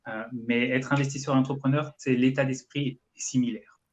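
A man's voice: medium pitch, fairly even intonation, brisk. A man in his thirties from France, giving explanatory, conversational answers on a video call. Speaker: guest, real estate investment coach. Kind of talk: conversational live interview answers. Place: indoor room, video call.

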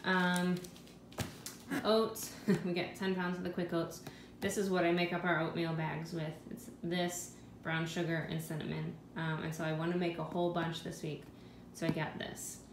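A woman's voice: lowish voice, normal speed. A woman in her thirties, a mother of five, talking through her grocery haul at home and musing aloud over prices. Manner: chatty, thinking aloud about prices. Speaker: vlogger. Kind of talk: casual grocery haul narration. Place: home kitchen. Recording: echoey room with steady background noise.

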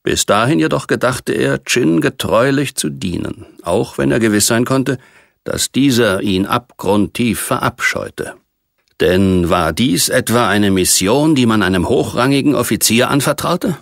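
A man narrating a science fiction story from a script, reading aloud in a measured way. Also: mid-range voice, expressive, creaky, dry recording, studio quality. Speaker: audiobook narrator.

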